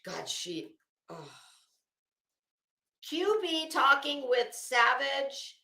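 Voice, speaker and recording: A woman with a bright, forceful, slightly high voice reads a document aloud and comments on it in a sardonic, incredulous way. Her delivery is unhurried and blunt, with casual swearing. The recording sounds roomy and echoing. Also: very animated, creaky. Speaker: host of commentary show. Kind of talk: reading a court document aloud with running commentary.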